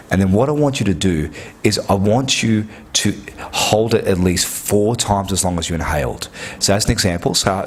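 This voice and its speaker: A man with a deep, low-pitched voice that has a husky edge to it. He talks straight to the listener like a health coach, earnest and direct, walking through each step and repeating key points. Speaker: health clinic practitioner. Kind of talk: instructional talk to camera.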